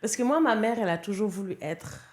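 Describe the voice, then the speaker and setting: A woman's voice: medium pitch, lively intonation, fast talker. A woman from Senegal whose first language is Darija Arabic, speaking frankly and with animation as an interview guest, with occasional stutters. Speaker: guest actress. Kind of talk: casual interview chat.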